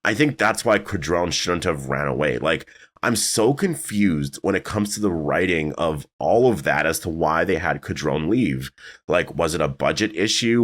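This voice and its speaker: A man commentating casually on a video channel, thinking aloud with many fillers, his voice raspy and a bit strained. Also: extremely low pitch, a bit bright. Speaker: YouTube commentator.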